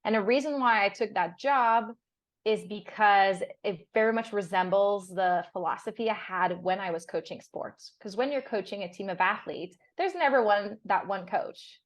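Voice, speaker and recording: A young woman with French as her first language talks casually and cheerfully, laughing as she goes and catching herself mid-word. Her voice sits at an ordinary middle pitch. The sound is dry and close, with almost no room around it. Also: moderate pace, no room sound. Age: young adult. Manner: chatty, laughs often, restarts words. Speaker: guest. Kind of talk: casual interview chat. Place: on a sailboat.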